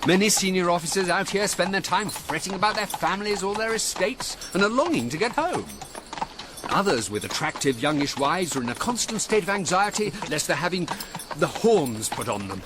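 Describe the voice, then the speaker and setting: Posh gossipy officer in radio play, swoopy high voice, horses clopping behind. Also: male voice, big pitch swings, moderate pace. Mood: reflective. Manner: urbane, wry, well-spoken. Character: Fitzroy Somerset, worldly, gossipy gentleman officer, military secretary. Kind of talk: period radio drama acting. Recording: noisy outdoor scene with horses. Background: horses clip-clopping.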